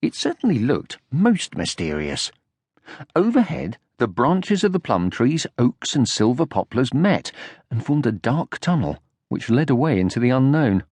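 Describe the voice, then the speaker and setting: A man narrating a children's audiobook from a script in an expressive storytelling style. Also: mid-range voice, a little slow, no echo, clean studio sound.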